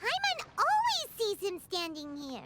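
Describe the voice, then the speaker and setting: A woman's voice: extremely high pitch, huge pitch swings, measured pace, heavy background noise, heavily acted. A woman voicing a small, chatty, naive fairy companion in an anime game, who talks about herself in the third person. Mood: curious. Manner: refers to self in third person.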